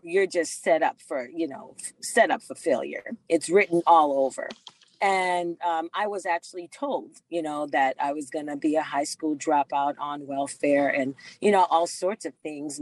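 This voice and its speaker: Middle-aged woman guest from the Bahamas, low and slow, earnest storyteller, dry close mic. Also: no room sound. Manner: slow and earnest, frequent fillers. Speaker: guest, doctor and author. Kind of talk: interview storytelling.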